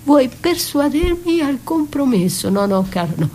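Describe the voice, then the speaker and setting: An actress playing a wounded, betrayed wife in a theatrical monologue, bitter and defiant, with halting, intense delivery. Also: female voice, sits high, sing-song, dark tone, a little hiss or hum. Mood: defiant, hurt. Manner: dramatic, halting, intense, dropping to breathy whispers. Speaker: stage actress performing a solo.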